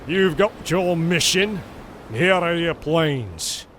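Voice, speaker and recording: A man acting a slow, high-voiced commanding father. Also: animated, noisy background. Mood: commanding, deliberate. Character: commanding father briefing hired pilots on a rescue mission. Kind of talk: motion comic adventure voice acting.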